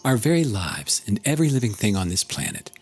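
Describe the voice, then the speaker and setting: A man giving a contemplative scripted reading of prose, solemn and measured, with long pauses. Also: fairly low pitch, big pitch swings. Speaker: author reading own book. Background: music.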